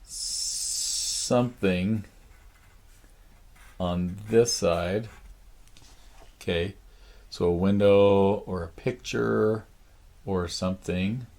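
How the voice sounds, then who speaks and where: A man teaching a drawing lesson, thinking aloud slowly with many pauses, his voice raspy. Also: sits low, steady tone, darkish tone. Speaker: art teacher. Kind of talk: drawing lesson, talking while sketching. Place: art studio.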